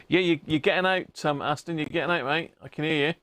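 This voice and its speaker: A man's voice: on the high side, brisk, fairly bright tone, no room sound. A man hosting a casual hobby livestream, chatting matily and muttering to himself as he works at an electronics bench. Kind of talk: casual live chat while working at a bench.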